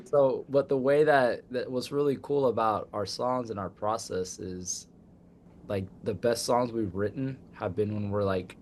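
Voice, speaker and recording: Excited young guy talking about his band, lowish voice, faint hiss behind. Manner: upbeat, enthusiastic, quick bursts. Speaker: band member, guest. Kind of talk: casual interview chat.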